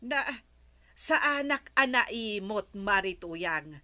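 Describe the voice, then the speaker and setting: A woman's voice: mid-range voice, expressive, slow, sharp tone. An actress playing a defensive, chatty village woman with an Ilocano Filipino accent and a plaintive way of protesting in a radio comedy drama.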